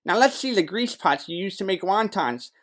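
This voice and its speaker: A man's voice: sits high, animated, normal speed, fairly bright tone. Casting: man to play oddball private-eye partner in comic noir radio play, easygoing, sincerely absurd. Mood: bluffing. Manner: breezy, earnest about absurd things. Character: quirky, carefree male detective sidekick in sneakers. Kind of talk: comic noir audio drama.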